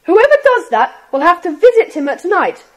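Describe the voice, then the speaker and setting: A woman playing an earnest, expressive schoolboy in a children's audiobook drama. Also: very high voice, moderate pace, heavily acted. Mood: earnest, planning. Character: Jack, earnest schoolboy in a secret club.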